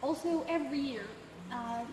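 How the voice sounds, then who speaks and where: A young woman in her twenties, a student, answering interview questions in a relaxed way with frequent pauses. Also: sits high, distant mic. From United States. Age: 20s. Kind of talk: casual explanatory interview answers. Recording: echoey hall from afar, background noise.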